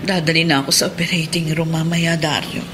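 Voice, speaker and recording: A woman's voice: deep voice, level tone, fairly quick, background noise, natural voice. A woman playing a young, worried and subdued sick wife in a Filipino radio drama.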